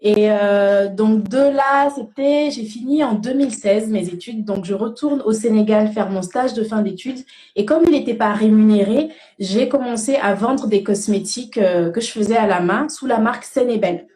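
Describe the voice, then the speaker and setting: A woman's voice: mid-range voice, normal speed, warm tone, roomy sound. A young woman from Senegal, an interview guest telling her own story in a casual, conversational way. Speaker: interview guest, cosmetics brand founder. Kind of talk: casual first-person storytelling.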